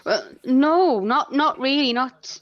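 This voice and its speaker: A woman's voice: high voice, slow, highs cut off, a crowd in the background. A woman telling personal stories casually as a podcast guest.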